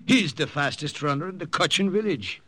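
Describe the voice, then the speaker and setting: An actor playing a kindly missionary priest with a folksy lilt, in an old radio drama. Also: male voice, on the high side, lively intonation, fairly quick. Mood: warm, confiding. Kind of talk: 1950s radio drama acting. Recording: old bright radio recording.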